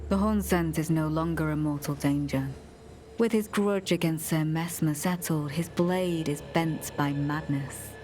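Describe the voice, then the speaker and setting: An actress playing a courtly, coldly resolute zealot knight in a fantasy game, with formal, measured, archaic diction. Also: female voice, low voice, slight background noise. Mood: composed. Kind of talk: dramatic fantasy game acting. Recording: loud music behind the voice. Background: game music.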